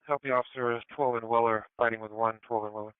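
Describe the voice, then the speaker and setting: A man's voice: medium pitch, steady tone, fast, clear, resonant tone, no echo. A man working as a police dispatcher, giving terse procedural instructions over lo-fi radio. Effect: radio transmission. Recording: muffled lo-fi radio scanner.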